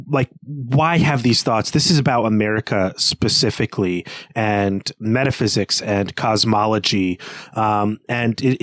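A man's voice: on the low side, dry recording, clean studio sound. A man co-hosting a literary podcast, explaining things in a thoughtful, tentative way as he feels through ideas. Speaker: podcast co-host.

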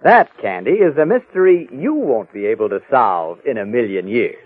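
An actor playing a calm, confident, mild-mannered crime reporter who soothes and hushes people in a 1940s radio drama. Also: male voice, medium pitch, moderate pace, slightly rough, soft background music. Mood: amused. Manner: reassuring, hushing. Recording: tinny, noisy old radio recording.

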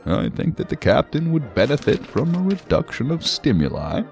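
An actor playing a genial, eccentric psychiatrist with a hesitant, musing, politely whimsical manner in an audio drama. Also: male voice, medium pitch, sing-song, normal speed, vocal fry, a little mellow, soft background music. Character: genial, eccentric male psychiatric doctor humoring his patient.

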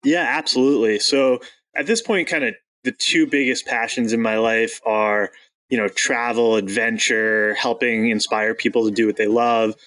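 A man's voice: medium pitch, fairly even intonation, normal speed, fairly bright tone, poor audio. A young man from Long Island speaks as a guest in an interview. He talks candidly and earnestly, and fillers slip in now and then. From Long Island, New York. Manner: earnest, open, with occasional laughs and fillers.

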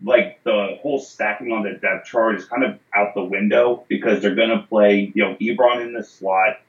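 A man's voice: on the low side, steady tone, normal speed. A man co-hosting a casual sports chat, easygoing and filler-heavy. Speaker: co-host. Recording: dull echoey call audio.

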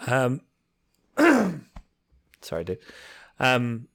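Male podcast co-host, slightly hoarse, exasperated casual ranting with sighs, dry close mic. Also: medium pitch, wildly swooping pitch, drawn-out words, a bit bright, no room sound. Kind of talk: casual chat.

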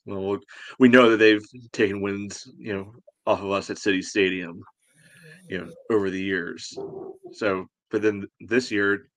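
A man co-hosting a casual sports podcast, talking in halting phrases peppered with filler. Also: sits low, fairly bright tone, dry recording. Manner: halting, peppered with "you know". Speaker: podcast co-host.

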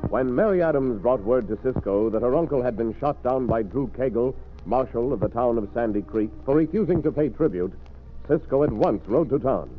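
A man's voice: mid-range voice, expressive, brisk, soft background music. A man narrating an old-time radio drama as its announcer, formal and dramatic. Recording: muffled vintage radio recording.

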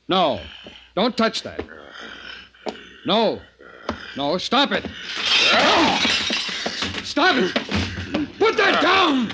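An actor playing an obsessive, anxious scientist who made a monster, in an intense, urgent 1950s radio drama style. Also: male voice, high-pitched, fairly bright tone, heavily acted. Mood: alarmed. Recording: noisy 1950s radio broadcast recording.

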